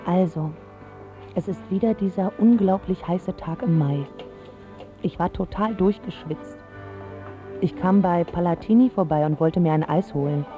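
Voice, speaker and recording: Low-voiced, lovestruck rich girl played by an actress. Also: unhurried, narrow, tinny audio, faint music behind, close to an everyday voice. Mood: wry, scornful. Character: spoiled rich teenage girl, smitten, sharp-tongued about boys. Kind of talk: first-person radio drama narration.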